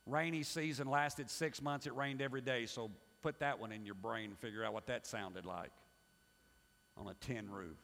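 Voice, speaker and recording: A middle-aged male pastor preaching a sermon, emphatic and swinging between loud pushes and hushed asides. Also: medium pitch, fairly even intonation, moderate pace, vocal fry. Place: church sanctuary. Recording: long hall reverb.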